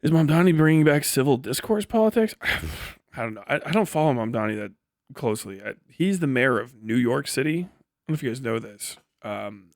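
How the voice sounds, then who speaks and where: Casting: male streamer, mid-pitched, blunt and sarcastic, swears freely, casual commentary, close dry sound. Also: expressive, moderate pace, gravelly, no echo. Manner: profane, blunt; sarcastic, acts out mock back-and-forth dialogues. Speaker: livestream host and commentator. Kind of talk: casual political commentary, riffing to live chat.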